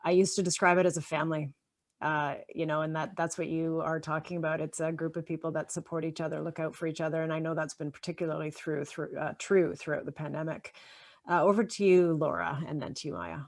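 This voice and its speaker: Female host, low voice, friendly panel moderator on a video call. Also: steady tone, quick pace. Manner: friendly, with frequent filler sounds.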